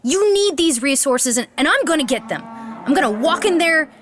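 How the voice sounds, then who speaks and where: An actress playing an earnest, flustered young female beastkeeper with a nervy, animated delivery in a fantasy audio drama. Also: high-pitched, moderate pace. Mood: frustrated, resolute.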